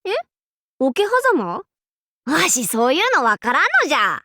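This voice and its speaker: A woman's voice: high voice, huge pitch swings, measured pace, sharp tone, no echo, clean studio sound, full character voice. A woman playing a proud, boisterous warlord in an anime game, with pompous, playful, archaic lordly speech. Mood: lecturing. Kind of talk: anime game character voice lines.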